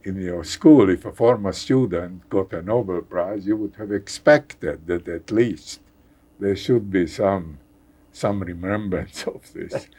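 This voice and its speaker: An older man from Hungary with a Hungarian accent, speaking haltingly as a guest in a conversational interview, repeating words and chuckling wryly. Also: low voice, mellow, slightly rough. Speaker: guest scientist.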